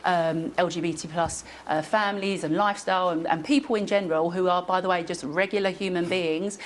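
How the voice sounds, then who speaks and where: Fast-talking woman, eager in debate. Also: lowish voice, fairly even intonation, slight background noise. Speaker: educator, debate guest. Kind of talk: spontaneous studio debate.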